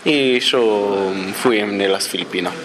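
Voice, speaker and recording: A man's voice: fairly low pitch, slow, noisy background. A young man from Italy, a native Italian speaker, answering interview questions hesitantly and searching for words. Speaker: exchange student guest.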